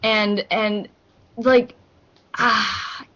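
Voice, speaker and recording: A woman's voice: mid-range voice, fairly even intonation, very slow, pushed and bright. A young woman from Hong Kong chatting casually on a podcast, rambling with lots of fillers and restarts. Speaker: podcast co-host. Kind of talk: casual group chat. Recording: muffled lo-fi recording.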